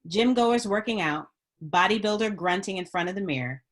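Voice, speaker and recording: A woman's voice: mid-range voice, animated, moderate pace. A woman reading screenplay stage directions as a narrator at a script table read.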